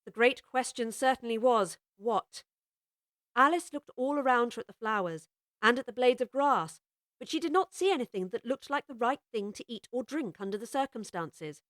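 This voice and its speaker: A woman narrating a full-cast audiobook of a children's classic in a measured, expressive way. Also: a little high, dry recording. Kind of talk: scripted storybook narration.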